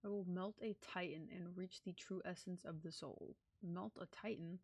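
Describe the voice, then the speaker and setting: A woman's voice: on the low side, steady tone, moderate pace, darkish tone, no room sound. A young woman live streaming a playthrough, reading game text aloud and reacting in a casual, sweary way.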